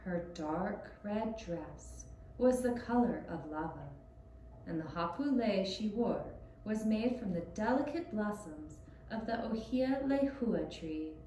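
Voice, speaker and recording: Woman storyteller for small children. Soft mellow tone, unhurried delivery, very roomy recording. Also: mid-range voice, animated. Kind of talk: scripted storytelling for young children. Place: echoey room, puppet show performance. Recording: roomy echoing sound with faint hiss.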